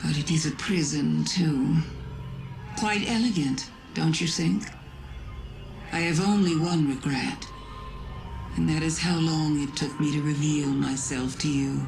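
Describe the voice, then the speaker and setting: A woman playing an ancient, cunning alien witch god in a video game, silky, theatrical and manipulative, in a measured persuasive delivery. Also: sits low, creaky, rough recording. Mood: coyly persuasive. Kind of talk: video game villain monologue. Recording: loud music behind the voice. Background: loud music.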